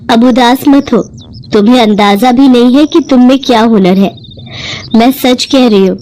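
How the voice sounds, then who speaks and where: Woman voicing a keen, kindly lady, mellow tone. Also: fairly high pitch, lightly acted, poor audio. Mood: encouraging. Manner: encouraging, insistent, expressive. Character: well-off, kindly, enthusiastic married woman on holiday. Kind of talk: Hindi moral-story cartoon acting.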